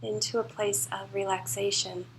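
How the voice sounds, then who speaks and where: A woman leading a guided meditation as a teacher, speaking in a soothing, unhurried and reassuring way. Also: mid-range voice, level tone, creaky, a little hiss or hum, rough recording. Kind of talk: guided meditation, spoken live to a class.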